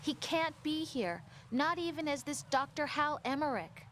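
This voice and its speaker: A gentle young mission analyst in a game cutscene, who sounds concerned. Her voice sits fairly high, with a faint hiss behind it. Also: animated, unhurried. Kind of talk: video game cutscene acting.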